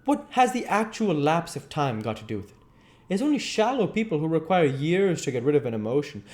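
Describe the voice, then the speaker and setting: A man's voice: on the high side, big pitch swings, a little fast, a little mellow, pitched above the performer's own voice. A man voicing a vain, callous young aristocrat, haughty and flippant, from a classic novel. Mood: indignant.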